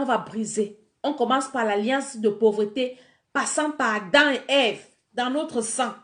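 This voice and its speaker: Fervent woman preacher with a cold. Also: a little high. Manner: fervent, swings between soft prayer and rising exhortation. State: has a cold. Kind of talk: sermon with guided prayer.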